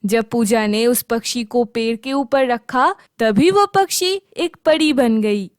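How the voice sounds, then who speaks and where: A woman's voice: fairly high pitch, slow pace, no echo, studio quality. A woman narrating a scripted children's story.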